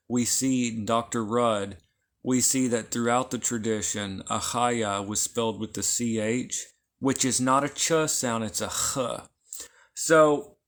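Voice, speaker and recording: A man teaches esoteric lore at a slow, unhurried pace. He explains patiently and circles back over each point, often stopping to check in with listeners. His voice sits in an ordinary middle pitch. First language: not Hebrew. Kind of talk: informal lecture.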